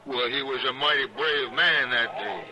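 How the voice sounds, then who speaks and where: Man playing a folksy frontier saloon patron, medium-pitched. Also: steady tone, normal speed, close to an everyday voice. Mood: curious, admiring. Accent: American rural Western drawl. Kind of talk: old-time radio western acting. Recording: old radio broadcast with music.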